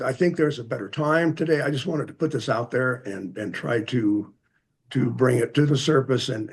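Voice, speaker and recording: An American man giving halting, plain-spoken public comment at a hearing, speaking earnestly and hesitantly. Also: fairly low pitch, vocal fry, breathy edge. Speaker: member of the public addressing a commission.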